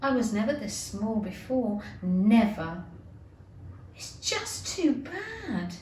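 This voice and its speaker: A woman's voice: fairly high pitch, big pitch swings, takes it slowly, darkish tone, roomy sound, distant mic, slight background noise. A woman reading a storybook as a tearful, fretful little girl who talks to herself in a plaintive, dramatic way. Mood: dismayed.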